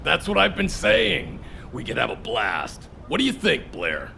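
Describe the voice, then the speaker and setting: A very gravelly, playful soldier from a video game, jokey and enthusiastic. His delivery swings up and down, with a clear rumble behind him. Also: wildly swooping pitch, measured pace, pushed and bright, heavily acted. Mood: excited. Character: upbeat soldier, playful joker who loves food.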